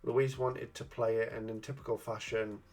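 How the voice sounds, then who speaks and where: A man's voice: medium pitch, little pitch movement, moderate pace, darkish tone. A man hosting a casual gaming review chat, cheeky and jokey, often laughing at his own innuendo. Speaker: gaming channel host.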